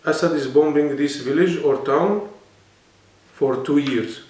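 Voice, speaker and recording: A man's voice: a little high, unhurried, a little mellow. Earnest Arabic-accented man, echoey room. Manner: earnest, explanatory, broken English phrasing. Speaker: interview guest, poet. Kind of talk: serious conversational interview. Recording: echoey room, mic at a distance.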